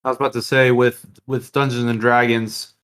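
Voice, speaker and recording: A man's voice: mid-range voice, little pitch movement, normal speed, a bit bright. A man hosting a podcast, chatting casually and enthusiastically about tabletop games. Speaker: podcast host.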